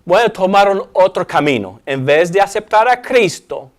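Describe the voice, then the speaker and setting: Animated man with an Alabama accent. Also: high-pitched, normal speed. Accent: American English (Alabama). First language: English. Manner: animated, asks questions, uses sound effects. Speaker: Bible teacher. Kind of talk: Bible study discussion.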